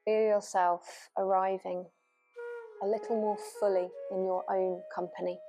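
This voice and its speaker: A woman yoga nidra teacher leading a guided relaxation meditation gently and without hurry, leaving long pauses. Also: medium pitch, little pitch movement. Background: new-age music.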